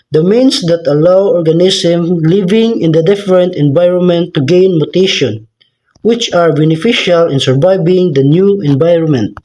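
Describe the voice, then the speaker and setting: Young man reading a report, high voice. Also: rough recording. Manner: steady recited reading. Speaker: student presenter.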